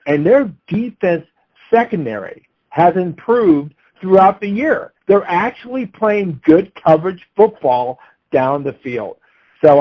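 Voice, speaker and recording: A man's voice: a little high, dull audio. A man talking through sports analysis and betting picks in an unscripted way, as an analyst.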